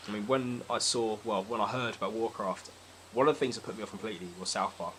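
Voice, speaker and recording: British male guest, rapid casual delivery, blunt opinions, slightly low pitch, noisy recording. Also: a bit bright. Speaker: podcast guest. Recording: clear background noise.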